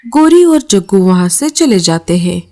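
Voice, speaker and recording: A woman's voice: medium pitch, very animated, moderate pace. A woman narrator reading a scripted children's cartoon story.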